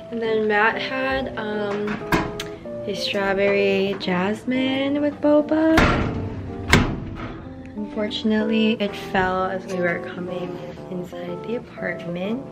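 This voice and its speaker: A woman in her twenties with an American accent, chatting casually to a handheld camera as she vlogs. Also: medium pitch. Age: 20s. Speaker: vlogger. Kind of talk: casual vlog chat. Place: kitchen, car, beach. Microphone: handheld camera at arm's length. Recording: loud music behind the voice.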